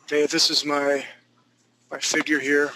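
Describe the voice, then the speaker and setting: A man's voice: fairly high pitch, completely flat delivery, unhurried, sharp tone, low-quality recording. A man in his thirties teaching a live drawing class, talking through each stroke with chatty joking asides. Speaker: drawing instructor.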